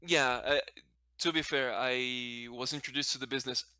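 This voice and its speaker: A man from Brazil, a native Portuguese speaker, talks with a bright, clean voice as a guest in a relaxed interview. He speaks hesitantly and often corrects himself mid-sentence. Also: mid-range voice, moderate pace, clean tone, dry recording, clean studio sound. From Brazil, later a Spanish-speaking country. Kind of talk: casual conversational interview answers.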